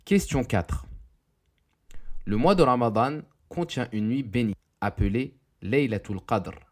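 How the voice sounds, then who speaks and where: A man narrating a scripted educational quiz, reading questions and answers in a deliberate, didactic way. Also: mid-range voice, animated.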